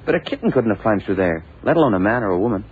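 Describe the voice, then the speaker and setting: An actor playing a plain-spoken, excitable, puzzled sidekick in an old radio drama, colloquial and forever protesting. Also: male voice, lowish voice, very fast. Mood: incredulous. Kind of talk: 1970s radio drama acting. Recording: tinny, noisy old radio recording.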